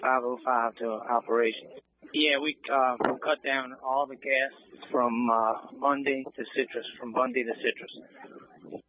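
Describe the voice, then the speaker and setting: Halting male firefighter on noisy radio. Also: medium pitch, fairly even intonation. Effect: two-way radio. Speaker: firefighter in the field. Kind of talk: casual radio status reports. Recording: noisy phone-like scanner radio transmission.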